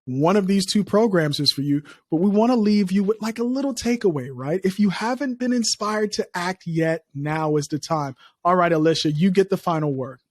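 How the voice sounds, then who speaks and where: A man from Liberia hosting a startup podcast, energetic, speaking straight to listeners and reading sponsor spots. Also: moderate pace, few pauses, no echo. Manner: energetic, pitches listeners directly. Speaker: podcast host. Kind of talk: podcast interview and ad read.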